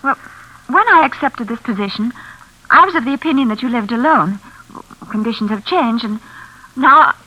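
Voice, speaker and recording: A woman's voice: a little high, expressive, normal speed, long pauses, sharp tone, low-quality recording. An actress playing a nervous, polite young woman working as a companion, earnest and proper, in classic radio suspense style. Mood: anxious, resolute. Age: young adult.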